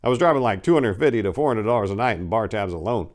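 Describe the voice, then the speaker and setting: A man's voice: fairly low pitch, lively intonation, fast talker. A man narrating a first-person story in a dramatic audiobook reading, with a blunt, streetwise, conversational manner.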